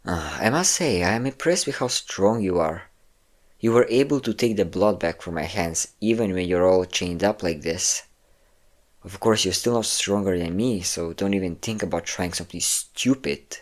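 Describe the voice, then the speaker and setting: Deep-voiced, possessive vampire captor played by a man. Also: lightly acted. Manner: smug, coaxing, theatrical endearments. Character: possessive, cocky male vampire captor, obsessive and condescending. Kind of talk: intimate roleplay audio drama monologue.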